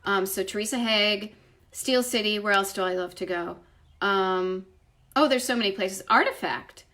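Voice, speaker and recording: A woman's voice: medium pitch, normal speed, bright, forceful tone. Chatty, effusive woman hosting a livestream. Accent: Boston. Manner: rambling, effusive, self-interrupting, emphatic. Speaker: livestream host, retro travel writer. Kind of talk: casual chat answering live viewer comments. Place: at home, indoors.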